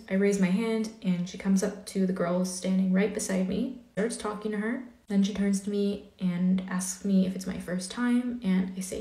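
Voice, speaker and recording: A woman's voice: medium pitch, a little mellow. A woman recounting a personal experience in a casual storytime style. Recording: roomy, echoing clip.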